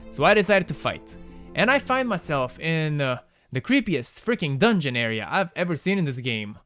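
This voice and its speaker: Young romanian-accented guy narrating game mods, bouncy lively voice, bit high, wry jokes, soft music behind. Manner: wry, self-mocking, quick asides. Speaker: gaming video host. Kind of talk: casual game mod commentary voice-over.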